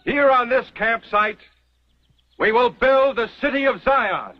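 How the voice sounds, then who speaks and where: Stern old-west church leader, proclaiming, high voice, dull old tv sound. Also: male voice, slow pace, soft background music. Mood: solemn. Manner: solemn, proclaiming. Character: stern middle-aged male pioneer church leader. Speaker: church leader laying out a settlement. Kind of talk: 1950s TV western acting.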